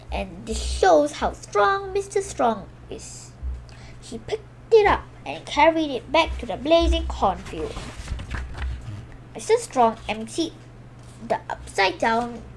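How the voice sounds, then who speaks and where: A woman's voice: high voice, slight background noise, poor audio. A woman reading a children's picture book aloud expressively, exclaiming the sound words and sometimes stumbling and correcting herself. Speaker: storybook reader for a children's video channel.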